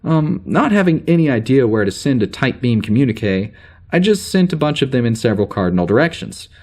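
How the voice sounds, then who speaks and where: A flustered spaceship security officer, acted by a man, dictates his log in a middling male pitch. He rambles and hesitates, unsure of himself, in the natural style of a sci-fi audio drama. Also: very animated, a little mellow. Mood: exasperated. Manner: halting, rambling, full of hesitations and sighs. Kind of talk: audio drama, informal spoken log entry.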